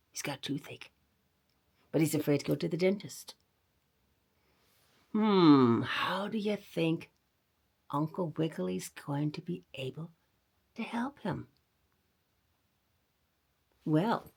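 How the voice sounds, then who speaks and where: A woman storyteller hosting a read-aloud for children, talking directly to her young listeners in an expressive, animated way. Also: mid-range voice.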